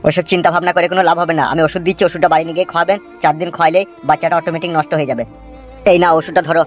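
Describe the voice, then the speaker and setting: A man voicing a calm village doctor in a cartoon, placating and lecturing. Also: a little high, racing, muffled recording, natural voice. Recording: faint music behind the voice.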